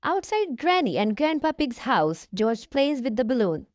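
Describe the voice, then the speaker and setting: Woman, high voice, very animated intonation, expressive children's storybook narration, dry close sound. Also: moderate pace, dry recording, highs cut off. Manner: expressive, addressing children directly. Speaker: children's story channel host and reader. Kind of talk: read-aloud storybook narration for young children.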